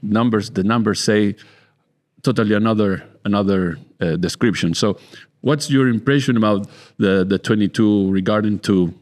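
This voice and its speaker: Halting, low-voiced man. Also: a little mellow. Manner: halting, repeats words while searching for phrasing. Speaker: interviewer, winemaker. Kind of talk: casual podcast interviewing.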